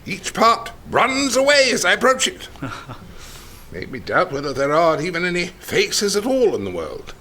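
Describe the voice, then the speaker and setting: An actor playing an excitable, philosophical detective in a radio drama, musing in a theatrical way. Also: male voice, high voice, unhurried, heavily acted. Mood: bewildered musing. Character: excitable, philosophical male detective fixated on strange ideas.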